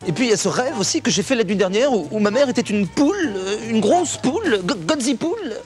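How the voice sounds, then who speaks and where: A man's voice: very high-pitched, sing-song, fairly quick. A man playing a neurotic, stammering intellectual who rambles anxiously and repeats words. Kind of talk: sketch comedy.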